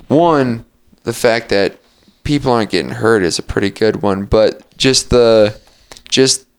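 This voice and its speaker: A man's voice: fairly low pitch, smooth. A young man hosting a podcast, telling loose rambling stories with frequent laughs and casual swearing. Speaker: podcast host. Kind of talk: casual chat.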